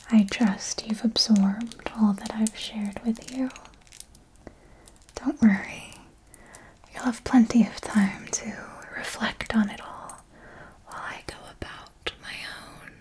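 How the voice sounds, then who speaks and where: A woman playing an elegant, possessive ancient vampire mentor in a whispered ASMR roleplay, speaking in a deliberate, formal, endearing way. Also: fairly high pitch, a little mellow, poor audio.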